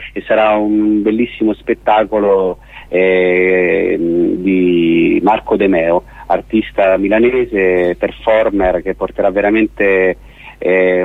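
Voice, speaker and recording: Low-voiced man in a dry studio. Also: dry recording, phone-quality sound. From Rome, Italy. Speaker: festival organiser, radio guest. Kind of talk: radio interview, explaining an event.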